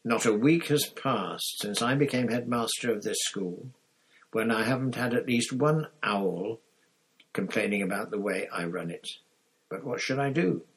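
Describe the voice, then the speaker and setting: A man reading aloud and voicing an elderly male headmaster wizard who speaks in a measured, gently dry way. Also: mid-range voice, slightly rough, rough recording. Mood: wry, kindly firm.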